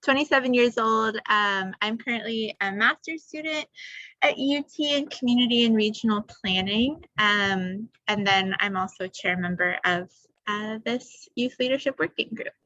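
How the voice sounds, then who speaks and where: A woman in her twenties, a graduate student, introducing herself in a relaxed, chatty way on a video call. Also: a little high, normal speed, dry recording. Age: 20s. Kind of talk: casual self-introduction. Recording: dull dry video-call audio.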